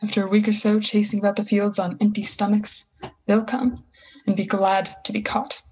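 Female actor for a bitter war survivor in a radio drama, husky voice, folksy slang, full of grand plans. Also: mid-range voice, level tone, a little mellow, low-quality recording. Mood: scornful, scheming. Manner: folksy, slangy, halting emphatic phrases. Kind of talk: 1930s-style radio play acting.

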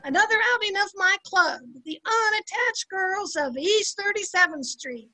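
Sitcom housemaid, played by an older woman: very high, bright voice, brassy slangy wisecracks, 1950s radio comedy. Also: animated, moderate pace, a faint crowd, full character voice, rough recording. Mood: wisecracking. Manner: sardonic, slangy, punchy delivery. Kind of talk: amateur old time radio comedy acting. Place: indoor room at home, video call. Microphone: computer mic on a video call.

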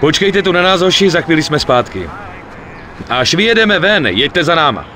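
Eager gangster schemer, animated swooping pitch, colloquial, video game drama. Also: male voice, a little hiss or hum. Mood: businesslike. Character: excitable, chatty young Italian-American gangster, eager schemer. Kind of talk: video game cutscene acting in Czech.

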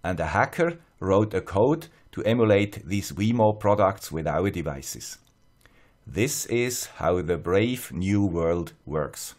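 A man with a fairly low voice and a Swiss accent narrates a scripted tutorial. He explains things step by step in a measured, plain-spoken manner. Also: lively intonation, darkish tone. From Switzerland. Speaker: host of an electronics tutorial channel.

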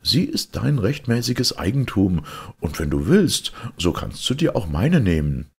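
A man's voice: lowish voice, big pitch swings, a little slow, gravelly, darkish tone, breathy edge, voice pushed up, heavily acted. A man voicing a poor, frightened but sly woodcutter in a storybook, sighing and pleading. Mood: placating.

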